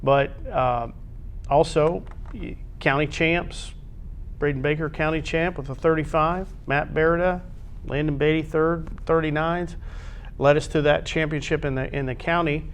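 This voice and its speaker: A man's voice: a little high, fairly even intonation, unhurried, slight background noise. An American man giving conversational sports commentary on a school athletics show, in short, emphatic phrases.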